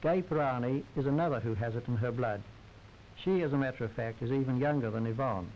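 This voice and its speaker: Male, droll formal 1930s newsreel narrator, mid-pitched, on a muffled vintage soundtrack. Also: fast, a little hiss or hum. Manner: wry, droll, formal society commentary.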